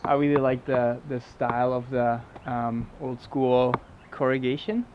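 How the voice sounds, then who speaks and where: A man from Germany with a German accent, English his second language, giving a casual halting tour of his tiny house with many fillers. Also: medium pitch, fairly even intonation, highs cut off, slight background noise. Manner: halting, many fillers and restarts, laughs often. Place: small wooden tiny house, indoors and just outside.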